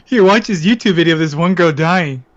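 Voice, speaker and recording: A man's voice: a little high, brisk. A man chatting casually and jokingly as guest co-commentator on a game stream. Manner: joking, giggly. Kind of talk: casual chat. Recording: muffled voice-chat audio, music behind. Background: game music.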